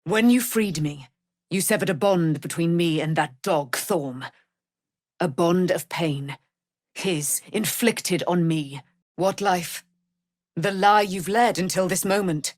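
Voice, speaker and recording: Slow, grand immortal warrior woman. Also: lowish voice, dry recording, clean studio sound. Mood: resolute, stern. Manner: grand, formal, archaic theatrical declaiming. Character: proud immortal warrior daughter of a moon goddess, vengeful and noble. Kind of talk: dramatic fantasy video game acting.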